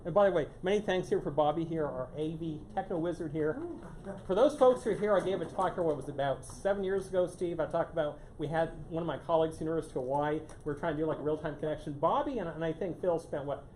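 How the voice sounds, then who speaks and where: A man lecturing a small room in a loose, rambling way, restarting sentences and leaning on the word "here." His voice sits fairly high, and it is picked up from a distance in an echoey room with steady background noise. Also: quick pace. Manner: casual, repeats "here" constantly, many restarts. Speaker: guest speaker on climate science. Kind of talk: live public lecture to a small audience. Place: meeting room with a live audience.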